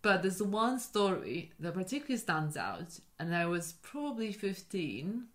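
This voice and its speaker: A young woman with a Polish accent that leans partly British talks in a loose, casual way. She drifts from one tangent to another in a lowish voice as she co-hosts a chat show. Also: very animated, unhurried. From Poland. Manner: scattered, jumps between tangents. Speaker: podcast co-host.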